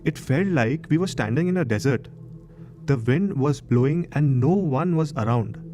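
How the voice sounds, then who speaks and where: A man's voice: medium pitch, animated, moderate pace, darkish tone, a little hiss or hum. A man in his thirties from Maharashtra, India, with an Indian accent, hosting and narrating a horror story show. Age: 30s.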